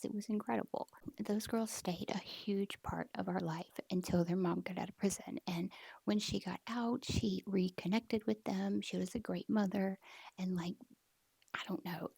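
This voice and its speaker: A woman with a drawl tells a personal story from her office, her voice heavily gravelly and breathy-husky. She rambles casually, full of "like", often sinking to a near-whisper. Her tone sits dark and mellow, with a dry, close sound. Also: mid-range voice, no echo. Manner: rambling, frequent "like", often hushed and half-whispered.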